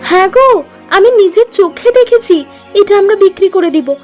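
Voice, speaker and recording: Very high, greedy cartoon wife, voiced by a woman. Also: animated, normal speed, darkish tone. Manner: coaxing, insistent. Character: greedy young wife, scheming and pushy. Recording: voice over music.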